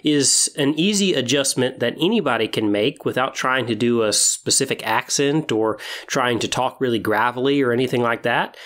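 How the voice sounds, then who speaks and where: A man's voice: medium pitch, normal speed, few pauses, fairly bright tone. Man hosting a podcast, explaining step by step. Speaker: podcast host. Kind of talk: podcast monologue giving tips on tabletop roleplaying.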